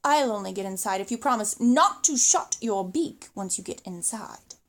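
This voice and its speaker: A woman's voice: medium pitch, lively intonation, moderate pace, pitched below the performer's own voice. A woman voicing a curious, wary small boy in a storybook, calling out emphatically. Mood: wary, stubborn.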